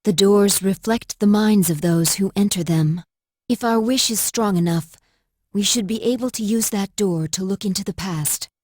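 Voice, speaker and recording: A calmly resolute android girl acted by a woman with a slightly husky, mid-pitched voice. She speaks politely and precisely in an anime game dub, recorded dry over faint music. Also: moderate pace, dry recording, natural voice. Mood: matter-of-fact. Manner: precise, formal.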